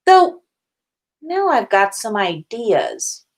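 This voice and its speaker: A woman's voice: on the high side, very animated, extremely slow, pitched above the performer's own voice, full character voice. A woman voicing a cheeky, scheming teenage prankster wizard boy in a story reading.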